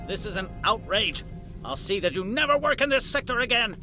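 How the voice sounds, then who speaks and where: High-pitched, pompous, indignant official. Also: male voice, sing-song, brisk, sharp tone, faint music behind, full character voice. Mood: outraged. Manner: pompous, blustering. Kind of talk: video game dialogue acting.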